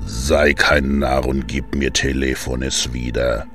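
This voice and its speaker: A man voicing a menacing, imperious god of the underworld in an audio drama, speaking in drawn-out threats. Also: extremely low pitch, fairly even intonation, fairly bright tone, voice pushed down, heavily acted. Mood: scornful. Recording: music under the voice.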